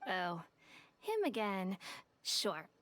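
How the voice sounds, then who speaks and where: A woman's voice: medium pitch, wildly swooping pitch, very slow, a bit bright, no echo, heavily acted. A woman playing a shrewd, self-assured young detective in an anime game, composed, knowing and businesslike. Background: game music.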